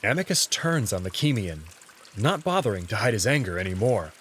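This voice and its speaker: A man's voice: on the low side, sing-song, fairly quick, fairly bright tone, slight background noise. A man reading scripted first-person narration for a visual novel game.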